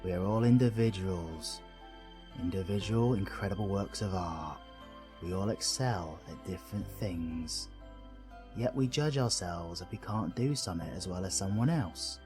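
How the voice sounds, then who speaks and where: A man's voice: low-pitched, unhurried, darkish tone, soft background music. A British man playing a cheeky, kind-hearted supervillain in an audio roleplay, chummy, slangy and reassuring, with a bit of mild swearing. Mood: gentle, reassuring. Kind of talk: scripted audio roleplay addressed to the listener.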